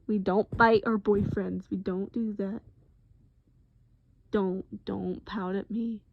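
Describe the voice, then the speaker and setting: A woman's voice: medium pitch, fairly even intonation, slow, creaky, no echo, phone-quality sound. Woman as patient, tender boyfriend in intimate roleplay, coaxing and repetitive, mid female register, dry close sound. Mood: hurt, scolding. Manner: coaxing, repetitive, pet names, simple reassurances. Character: gentle, patient boyfriend soothing a groggy partner.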